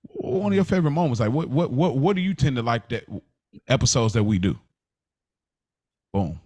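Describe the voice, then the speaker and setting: Male podcast co-host, mid-pitched voice, informal, slangy, conversational, acts out quotes. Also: sing-song, brisk, darkish tone. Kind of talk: casual chat.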